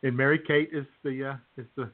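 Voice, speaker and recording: A male podcast host with a medium-pitched voice, heard through a narrow, phone-like sound. He chats loosely and banters with co-hosts in a jokey, mock-enthusiastic manner. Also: steady tone, fast. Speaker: host of a comedy radio podcast. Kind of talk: casual jokey chat with co-hosts. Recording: tinny phone-like band-limited sound.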